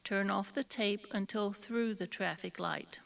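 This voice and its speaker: A woman reading scripted driving directions in a measured, instructional way. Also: medium pitch, vocal fry. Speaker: tour directions narrator. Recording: slightly distant old cassette recording.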